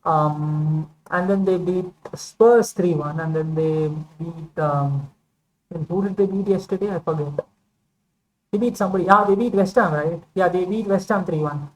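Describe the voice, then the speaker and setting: A woman with a lowish voice talks through football results in a casual vlog. She rambles, hedges and corrects herself, with plenty of fillers along the way. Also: moderate pace, a little mellow, a little hiss or hum, low-quality recording. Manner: rambling, hedging, many fillers and self-corrections. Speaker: football vlogger. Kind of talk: casual football opinion monologue.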